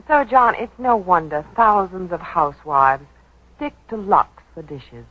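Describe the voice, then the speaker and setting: A woman's voice: lowish voice, animated, measured pace. A woman performing scripted 1940s radio advertising dialogue with an emphatic, knowing sales delivery. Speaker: commercial spokeswoman. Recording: dull 1940s radio broadcast recording.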